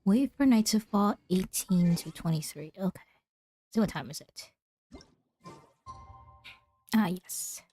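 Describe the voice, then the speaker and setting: Mellow-voiced woman streaming a game. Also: medium pitch, animated, slow, pauses often, faint music behind. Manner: thinking aloud in short asides. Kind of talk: casual gameplay commentary.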